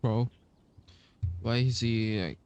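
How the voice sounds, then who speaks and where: A man giving casual commentary on a video game playthrough, musing in a hesitant, halting way. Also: medium pitch, darkish tone, clean tone, highs cut off. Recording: commentary over game music.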